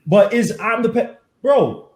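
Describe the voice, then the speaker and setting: A man hosting a podcast, arguing his point in a fast, emphatic, animated way. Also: sits high. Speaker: podcast host. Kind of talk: casual sports debate. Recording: echoey room.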